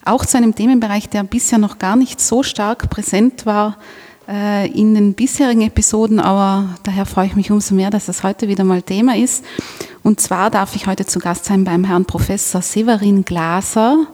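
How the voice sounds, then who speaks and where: A woman's voice: mid-range voice, steady tone, normal speed, darkish tone, long reverb tail. A woman hosting a university podcast, introducing her guest with a few fillers. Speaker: podcast host. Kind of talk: interview hosting.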